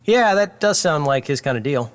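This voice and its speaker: Man acting a chatty schemer with swooping pitch. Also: normal speed, big-room sound, lightly acted. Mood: easygoing. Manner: rambling, jokey, excitable. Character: chatty, scatterbrained young male small-time schemer. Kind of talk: comedic audio drama acting.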